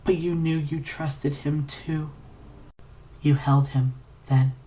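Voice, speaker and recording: Low-voiced woman, intense dramatic narration. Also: steady tone, slow, dark tone. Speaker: audiobook-style reader of fan fiction. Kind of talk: dramatic literary narration in second person.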